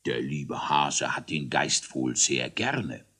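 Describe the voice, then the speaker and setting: A man voicing a dignified, benevolent ruler of little creatures in a children's story, measured and thoughtful. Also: on the low side, expressive, sharp tone, full character voice. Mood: quiet, doubtful. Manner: measured, regal, deliberate.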